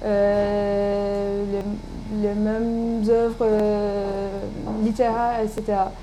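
A woman's voice: medium pitch, completely flat delivery, very slow, mellow, rough recording. A woman in her thirties from Turkey, a native Turkish speaker, answering interview questions in a casual, hesitant way with many fillers and restarts. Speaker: interviewee, doctoral student. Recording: background noise.

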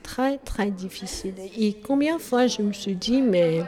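A woman from China speaking French as a second language with a Chinese accent, answering interview questions in a halting way and often correcting herself. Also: mid-range voice. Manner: halting, searching for words, self-correcting. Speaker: tea shop owner.

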